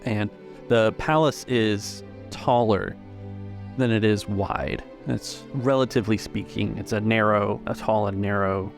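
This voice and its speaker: A man narrating a tabletop game as game master, describing scenes in halting, searching phrases. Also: on the low side, steady tone, gravelly, soft background music.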